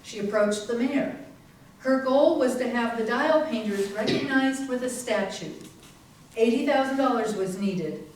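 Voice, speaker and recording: Higher-pitched woman narrator, very echoey. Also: animated, a little slow, a little mellow. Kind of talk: scripted narration in readers theater. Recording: distant mic, very echoey room, faint noise.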